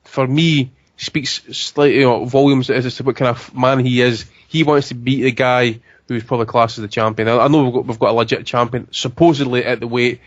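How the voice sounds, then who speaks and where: A man hosting a casual boxing podcast, reading out listener questions at a brisk clip. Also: medium pitch, steady tone, dry recording, muffled recording. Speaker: podcast host.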